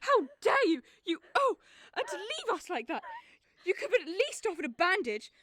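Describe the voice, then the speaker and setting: A woman's voice: very high-pitched, sing-song, moderate pace, a bit bright, no room sound, heavily acted. A teenage girl playing a protective, easily outraged village girl in a radio play, speaking emphatically. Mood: indignant. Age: teens. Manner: indignant, emphatic.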